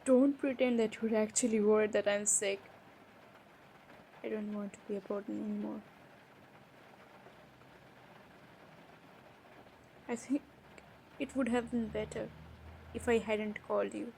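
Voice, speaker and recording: A woman playing a hurt, defensive young woman in an emotional romantic roleplay, speaking haltingly with pauses. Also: medium pitch, steady tone, vocal fry, warm tone, lightly acted. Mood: bitter, hurt. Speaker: audio roleplay performer. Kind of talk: improvised romantic roleplay drama. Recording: noisy background ambience.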